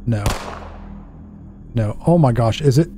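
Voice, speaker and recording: A man in his thirties narrates his gameplay casually, thinking aloud at an unhurried pace. He speaks in a medium-pitched voice close to the mic and often questions himself. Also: music in the background. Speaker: gaming YouTuber. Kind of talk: casual let's play commentary. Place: indoor home setup.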